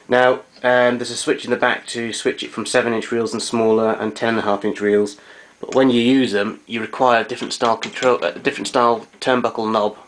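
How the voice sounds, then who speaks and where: A man giving an unscripted, matter-of-fact hands-on walkthrough of equipment, explaining it as a collector would. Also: medium pitch. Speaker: vintage tape recorder collector giving a demonstration. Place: indoors in a domestic kitchen. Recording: noisy amateur camera sound.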